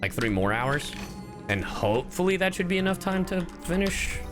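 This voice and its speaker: A man's voice: high-pitched, very animated, normal speed. A man chatting casually as a gaming streamer, joking and swearing freely. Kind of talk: casual gaming livestream chat. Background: game music.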